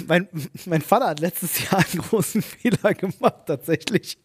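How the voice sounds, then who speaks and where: A man's voice: sits high, moderate pace, husky. A man telling family anecdotes in casual, colloquial chat, often acting out quotes.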